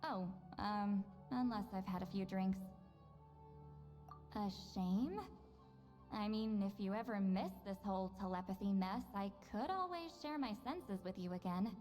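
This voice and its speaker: A woman's voice: mid-range voice, measured pace, a little mellow, close to an everyday voice. A woman playing a proud, teasing young shaman in a video game, haughty and playful but easily flustered. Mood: coy, flustered. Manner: playful, haughty, hesitant when embarrassed. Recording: long reverb, faint music behind.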